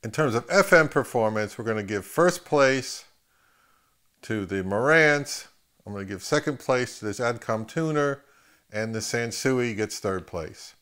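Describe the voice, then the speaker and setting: A man giving a casual, unscripted equipment review as a video host, thinking aloud in a halting way and repeating words. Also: mid-range voice, expressive, a bit bright, smooth. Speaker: host of an audio gear video channel.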